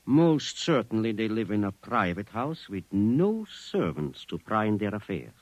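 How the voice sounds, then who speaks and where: A man's voice: lowish voice, sing-song, a little slow, no room sound. An actor in a 1950s radio drama playing an earnest, formal man with a pedantic, emphatic way of speaking. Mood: confident, insistent. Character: earnest, precise, formal man convinced Martians live among us.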